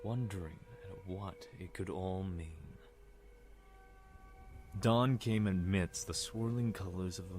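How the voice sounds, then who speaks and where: A man's voice: deep voice, darkish tone, slight background noise. A man acting a wry, reflective Egyptian prince who narrates his past in an audio drama. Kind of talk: scripted first-person narration. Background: music.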